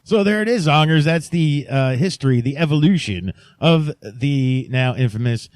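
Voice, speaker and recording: A man's voice: on the high side, animated, moderate pace, a little mellow, no room sound. A man hosting a podcast, talking casually to his listeners in a chatty, playfully emphatic way. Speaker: podcast host.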